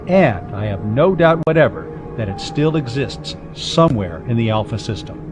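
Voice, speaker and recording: A man voicing an educated, earnest man arguing his belief in measured, articulate words, over music. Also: medium pitch, expressive, natural voice. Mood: earnest, insistent. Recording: music behind the voice.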